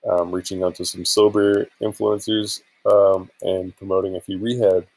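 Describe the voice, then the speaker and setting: Man, deep voice, vocal fry, tired, informal, hesitant, rambling vlog delivery. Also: flat delivery, normal speed, a little mellow, rough recording. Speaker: vlogger and affiliate marketer. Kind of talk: casual screen-share vlog chat.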